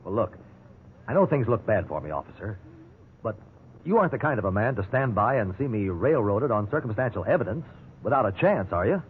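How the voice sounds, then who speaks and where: A man's voice: lowish voice, big pitch swings, fairly quick. An actor playing a wry, defiant man held as a suspect in a 1950s radio drama. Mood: pleading. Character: indignant, wrongly accused male prisoner, also narrating. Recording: tinny, noisy 1950s radio recording.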